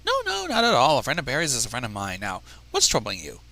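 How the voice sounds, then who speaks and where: A man's voice: medium pitch, huge pitch swings, quick pace, creaky, noisy background. A man voicing a kindly female pony mentor who speaks earnestly and reassuringly in a story reading. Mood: concerned.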